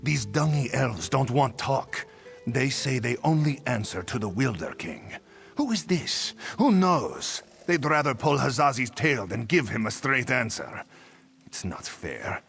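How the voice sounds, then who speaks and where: An actor playing a put-upon, exasperated cat-folk envoy in a video game, who speaks of himself in the third person. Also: male voice, mid-range voice, big pitch swings, measured pace, vocal fry, a bit bright, exaggerated voice. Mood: exasperated, grumbling. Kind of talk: video game character acting. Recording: music behind the voice.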